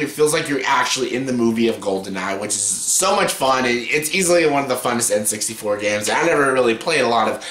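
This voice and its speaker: Late-20s man, scratchy, bright and forceful, casual rambling vlogger, roomy echo. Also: medium pitch. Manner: rambling, profane, filler-heavy. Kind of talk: casual top-ten countdown.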